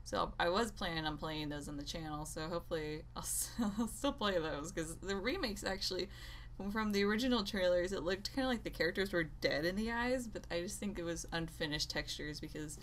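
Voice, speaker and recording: A woman's voice: on the low side, lively intonation, fairly quick, a little hiss or hum. A woman gaming creator ranting casually and off the cuff, exasperated and rambling, often interrupting herself. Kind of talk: unscripted opinionated rant to viewers.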